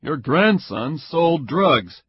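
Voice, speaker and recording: A man voicing a stern male state police investigator, curt and forceful. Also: a little high, very animated, extremely slow, breathy edge, dry recording, pitched above the performer's own voice, exaggerated voice. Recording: dull lo-fi recording.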